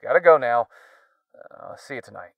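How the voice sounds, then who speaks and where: A man's voice: medium pitch, lively intonation, moderate pace, fairly bright tone, dry recording. A man voicing an apologetic, busy young friend making a call in a visual novel game. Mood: apologetic, hesitant.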